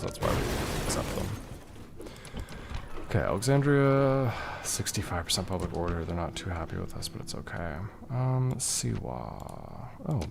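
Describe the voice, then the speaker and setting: A deep-voiced man with a gravelly edge talks unhurriedly through his strategy game, musing aloud and answering chat. Soft music plays faintly under his voice. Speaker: streamer playing a strategy game. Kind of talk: casual gameplay commentary reading chat.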